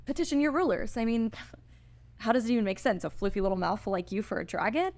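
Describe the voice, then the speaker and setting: Woman playing blunt, high-voiced sarcastic college girl. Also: expressive, quick pace, a little mellow. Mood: mocking. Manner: casual, challenging. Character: blunt, sarcastic modern female college sophomore. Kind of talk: screenplay table read.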